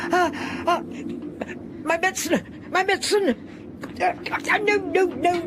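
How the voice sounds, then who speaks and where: An actress playing an exacting, irritable, frail elderly spinster in a literary audio drama, imperious and fretful. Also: female voice, extremely high pitch, noisy background. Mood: frantic, pained.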